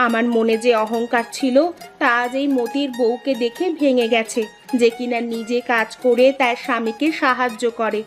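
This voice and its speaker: A woman voicing a proud, sharp-tongued rich village wife in a cartoon, speaking emphatically. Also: on the high side, level tone, normal speed, soft background music, lightly acted. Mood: contrite. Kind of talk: Bengali cartoon story dialogue.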